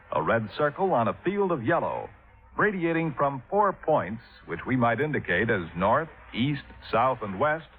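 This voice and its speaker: An old, narrow-band radio recording with music behind it carries an American male announcer. His medium-pitched voice reads formal script in a measured, solemn way with expressive rises and falls.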